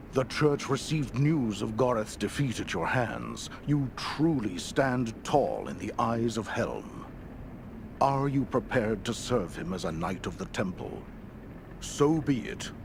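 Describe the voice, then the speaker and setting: A man playing a solemn, fatherly church superior in a video game, speaking in a formal, measured, grave manner. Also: mid-range voice, sing-song, creaky, full character voice. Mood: solemn, benevolent. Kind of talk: video game quest dialogue. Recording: noisy game capture.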